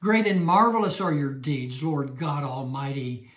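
Slow, emphatic older man teaching Scripture. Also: on the high side, fairly bright tone, echoey room. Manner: slow, emphatic, repeats key phrases. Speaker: Bible teacher. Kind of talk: Bible study lecture. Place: small room indoors. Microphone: lapel mic.

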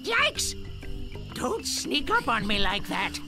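An actor playing a jumpy, quizzical old lookout in a video game. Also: male voice, extremely high pitch, wildly swooping pitch, slow pace, music in the background, exaggerated voice. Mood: startled.

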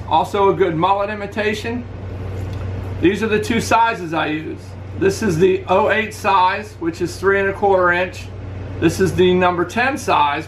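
A man's voice: high voice, slight background noise. A middle-aged man in his living room, talking casually to a webcam as he holds up and reviews his favorite fishing lures. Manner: relaxed, practical, shows lures to camera. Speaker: fishing channel host. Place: indoors, living room at home.

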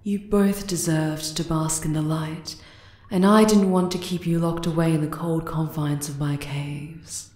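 A woman as a slow, low-voiced regal drider queen. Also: fairly even intonation, darkish tone. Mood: tender. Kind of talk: ASMR roleplay acting. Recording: roomy, echoing cave-like reverb.

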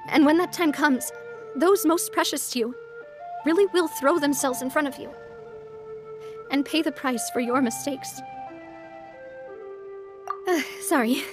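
A woman voicing a cheerful, sincere young woman leader in a video game, speaking in a gentle, earnest, measured way. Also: high-pitched. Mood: earnest, wistful. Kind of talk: animated video game voice acting. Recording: soft music behind the voice. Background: soft game music.

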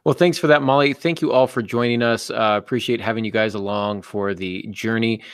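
A man's voice: on the low side, steady tone, a little fast, clear, resonant tone, no room sound. A middle-aged man hosting a casual live podcast in a dry, joking manner, close to a desk microphone. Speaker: podcast host and football coach. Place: indoor office, on a video call. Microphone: close desktop microphone.

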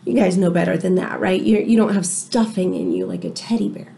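A middle-aged woman, an elementary teacher, reading aloud to young children with expressive, chatty asides. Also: fairly low pitch, brisk, creaky. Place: small indoor room.

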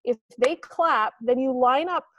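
A woman's voice: high-pitched, a little slow, fairly bright tone, muffled recording. A woman, a teacher explaining something informally to peers on a video call. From Canada. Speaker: middle school teacher.

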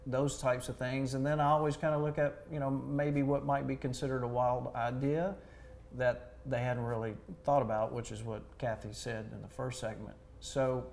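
A man's voice: medium pitch, moderate pace, vocal fry, a little hiss or hum. A middle-aged man with a Southern US accent, a cabinet designer chatting casually as a guest in an interview. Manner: relaxed, chatty, many fillers. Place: large home kitchen, indoors.

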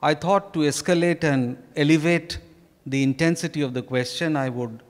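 Middle-aged male author, Indian accent, measured halting delivery, slightly high pitch, on a mic in a reverberant ballroom. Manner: slow, deliberate, halting delivery. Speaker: author being interviewed. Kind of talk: reading prepared remarks in an on-stage interview. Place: hotel ballroom stage. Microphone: handheld microphone. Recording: echoey ballroom with long reverb.

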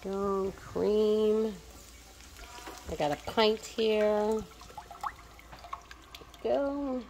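A woman's voice: medium pitch, very slow, noisy background. A woman from the United States in her home kitchen, chatting through each step of her meal prep as she cooks. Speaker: home cook vlogger. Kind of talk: casual cooking narration.

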